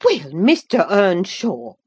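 Woman playing a scolding, high-voiced stout housekeeper. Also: wildly swooping pitch, a little slow, slightly rough, full character voice. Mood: scolding, motherly. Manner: loud, scolding, rustic dialect. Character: stout, bustling, scolding housekeeper with a kindly streak.

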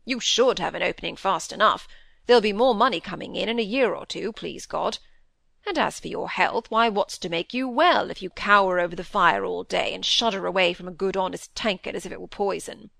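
Woman playing stubborn old squire, storybook father, gruff, blustering, emphatic, gravelly creak, dry close sound. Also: mid-range voice, a little fast, a bit bright, no room sound. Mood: urgent, gruff. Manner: blustering, emphatic, rustic old-fashioned turns of phrase. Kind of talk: audiobook character dialogue.

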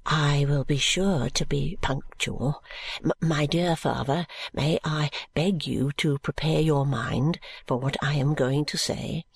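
A reader voicing a meek, dutiful young man in an audiobook, pleading earnestly and submissively in a hushed way. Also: mid-range voice, slow pace, gravelly, slightly rough, dry recording, full character voice. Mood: respectful, nervous. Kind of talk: audiobook character voice.

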